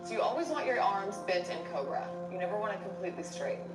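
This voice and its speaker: A woman's voice: medium pitch, lively intonation, fast. A woman guiding a yoga class as instructor, giving encouraging, step-by-step cues. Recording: echoey room, distant mic, music behind. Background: music.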